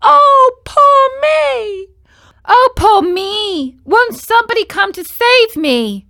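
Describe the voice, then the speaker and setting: A woman voicing a frightened wooden puppet boy who cries out in high, loud, sobbing bursts. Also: animated, unhurried, dry recording, studio quality, exaggerated voice. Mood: terrified sobbing.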